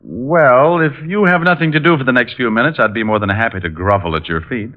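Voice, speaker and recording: An actor playing a sardonic private detective in a vintage radio drama, with an American accent and glib, dry wit. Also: male voice, mid-range voice, a little fast, lightly acted. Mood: cool, businesslike. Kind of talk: 1950s radio drama acting and noir narration. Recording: muffled vintage radio recording, music.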